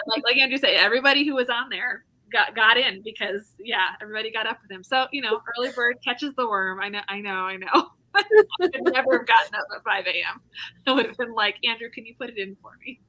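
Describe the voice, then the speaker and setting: A woman with a bright, forward voice hosting a relaxed live chat. She laughs often and keeps the talk moving, reading out questions from viewers. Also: fairly high pitch, a little fast, poor audio.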